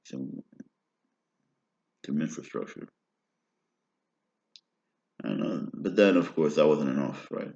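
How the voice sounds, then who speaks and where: A man's voice: extremely low pitch, takes it slowly, vocal fry, pauses often, darkish tone. A man in his thirties with an American accent, talking in a meandering personal monologue and often checking in with "right?". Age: 30s. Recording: roomy, dull lo-fi recording.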